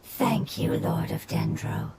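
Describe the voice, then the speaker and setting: A woman voicing a gentle, solemn otherworldly creature in a video game, with measured, formal speech. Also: deep voice, vocal fry, background noise, full character voice. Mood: hushed, moved. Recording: loud music behind the voice.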